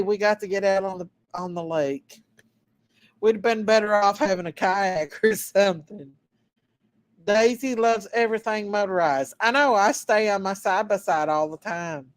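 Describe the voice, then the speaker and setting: A middle-aged woman from southeast Kentucky with an Eastern Kentucky Appalachian accent, chatting folksily with her livestream viewers and laughing easily. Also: mid-range voice. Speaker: channel host. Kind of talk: casual livestream chat reading comments.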